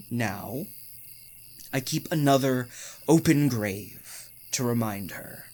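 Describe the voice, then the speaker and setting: Deranged, slow-talking, menacing hotel keeper. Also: male voice, mid-range voice, slight background noise. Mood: unhinged, brooding. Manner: halting, softly insinuating, wide emotional swings. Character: deranged, menacing male hotel keeper, mock-solicitous and obsessive. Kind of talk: old-time radio thriller acting.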